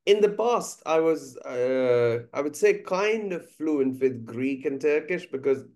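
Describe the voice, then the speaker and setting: A man's voice: fairly high pitch, lively intonation, a little mellow. Man speaking thoughtfully. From India. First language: Bengali. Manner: measured, thoughtful, frequent uh pauses. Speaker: guest musician and teacher. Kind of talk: conversational podcast interview.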